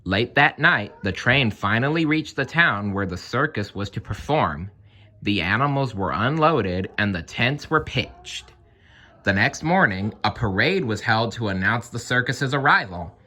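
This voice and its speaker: A man reading a children's story aloud in an expressive, animated narrating style. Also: mid-range voice, measured pace. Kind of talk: children's read-aloud narration with playful asides.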